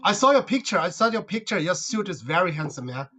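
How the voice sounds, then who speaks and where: A man's voice: high voice, big pitch swings, fast, sharp tone. A man from China whose first language is Uyghur, chatting with strangers online in a friendly, teasing way and asking lots of questions. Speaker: participant in an online English practice chat. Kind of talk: casual chat.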